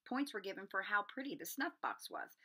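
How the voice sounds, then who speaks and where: A woman reading a children's novel aloud as an expressive storyteller. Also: medium pitch, fairly quick, slightly rough. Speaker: storybook reader.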